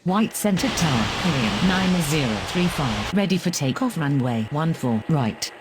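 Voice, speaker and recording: A man's voice: fairly high pitch, sing-song, unhurried. A man speaking clipped, procedural air traffic control radio phrases. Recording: clear engine-like background noise.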